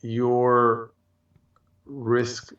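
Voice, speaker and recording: Podcast co-host, unhurried and halting, casual chat, picked up from a distance in a roomy space. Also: mid-range voice, completely flat delivery, a bit bright. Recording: dull, roomy, distant mic pickup.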